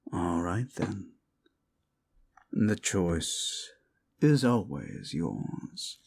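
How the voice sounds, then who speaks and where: A man as a low-voiced, condescending demon. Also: gravelly, slight background noise, exaggerated voice. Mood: taunting, smug. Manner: condescending, mocking, drawling exasperation. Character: condescending male demon, fallen angel, sardonic and smug. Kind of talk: intimate roleplay audio drama monologue.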